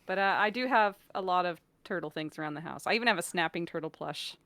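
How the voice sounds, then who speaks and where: Bright-voiced, playful American woman. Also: fairly low pitch, fast talker, no room sound. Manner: playful, joking. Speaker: voice actor guest. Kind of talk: casual chat.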